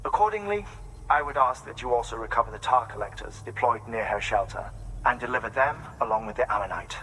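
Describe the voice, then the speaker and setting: An actor playing a courteous, formal male scientist in a video game cutscene, speaking in a polite, measured way. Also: mid-range voice, lively intonation, a bit bright, a little hiss or hum, close to an everyday voice, rough recording.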